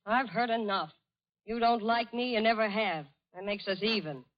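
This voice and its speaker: A woman's voice: medium pitch, moderate pace, lightly acted. An actress playing a headstrong, flippant young society woman in an old-time radio drama. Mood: defiant, hurt. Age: young adult. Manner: flip, bantering, self-assured. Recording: dull vintage radio recording with music.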